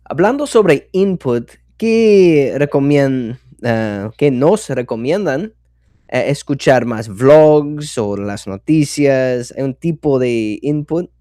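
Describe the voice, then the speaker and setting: A man's voice: medium pitch, expressive, slow, warm tone, no echo, clean studio sound. A man taking part in a podcast chat as a language learner, asking questions hesitantly while he searches for words.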